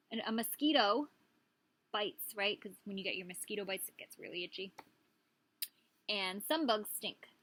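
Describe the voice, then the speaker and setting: A woman's voice: mid-range voice, fairly bright tone, a little hiss or hum. A woman, a preschool teacher, reading a picture book aloud to young children and prompting them with questions.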